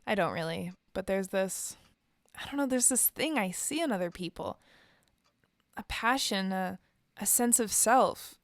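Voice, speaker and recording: A woman's voice: mid-range voice, animated, a little slow, dry recording, clean studio sound. A woman playing a guarded, self-doubting young trainee in an audio drama, hesitant and downbeat in naturalistic dialogue. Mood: uncertain, searching. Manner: hesitant, downbeat, clipped answers.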